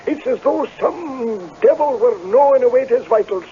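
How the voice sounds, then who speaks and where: An actor in a radio drama playing a laird's stern, gloomy Scottish rent collector, grave and full of foreboding. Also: male voice, very high voice, animated, moderate pace. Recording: muffled old radio broadcast, faint noise.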